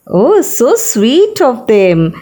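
A sweet, playful little girl from a storybook, voiced by a woman. She talks very slowly in a high, lilting sing-song. Her delivery is wide-eyed and doting, like a younger sister with her doll. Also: huge pitch swings, dark tone, heavily acted. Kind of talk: children's story dialogue.

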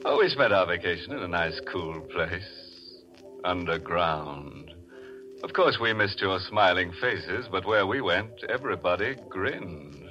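An actor playing a gleefully morbid horror host, theatrical and sly in 1940s radio style. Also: male voice, low voice, animated, measured pace, bright, forceful tone, exaggerated voice. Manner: theatrical, sly, tongue-in-cheek. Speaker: host and announcer. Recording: dull vintage radio, organ-era music behind.

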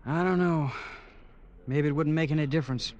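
Male actor as defeated prisoner and former radio man, lighter higher voice, sceptical, old-time radio play. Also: moderate pace, a little mellow, muffled recording, natural voice. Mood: hopeless, doubtful. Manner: weary, doubtful. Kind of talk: 1940s-50s radio drama acting.

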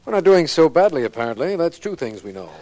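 An actor playing a brisk, skeptical, matter-of-fact district attorney in a 1940s radio drama. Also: male voice, a little high, sing-song, dark tone, no room sound. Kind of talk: 1940s radio drama acting and case narration. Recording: noisy old radio recording.

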